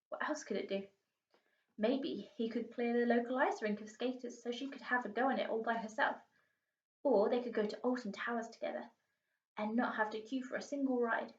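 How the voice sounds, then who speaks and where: A woman teacher reading a children's storybook aloud in an expressive storytelling style. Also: a little high. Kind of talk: storybook narration. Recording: roomy, distant, muffled home recording.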